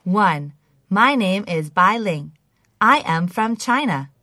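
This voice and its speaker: A woman's voice: medium pitch, lively intonation, slow, bright, forceful tone, dry recording, studio quality. A woman reading scripted English listening exercises, enunciating carefully like a language-lesson recording. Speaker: English listening test reader.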